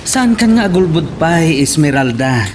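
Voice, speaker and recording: A man's voice: a little high, sing-song, measured pace, far from the mic. A man playing a cocky, suspicious village man in a radio drama. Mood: boastful. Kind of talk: Ilocano radio drama acting. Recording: clearly noisy radio drama track.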